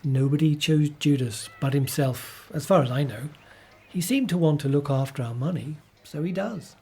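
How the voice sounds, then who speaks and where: An actor playing a plain-spoken, easygoing disciple in a radio play, casual and matter-of-fact. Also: male voice, on the high side, normal speed, warm tone, breathy edge. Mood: matter-of-fact, questioning.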